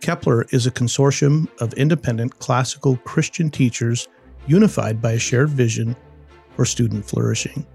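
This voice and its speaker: A man hosting an academic podcast, opening with a scripted intro and then asking questions in an upbeat, measured way. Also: mid-range voice, creaky, a little mellow, breathy edge, soft background music. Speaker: podcast host.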